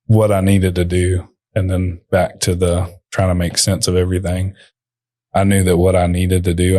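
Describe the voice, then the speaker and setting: A young man, a company owner, talking quietly as a podcast guest, halting with many fillers. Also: low-pitched, no echo. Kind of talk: podcast interview.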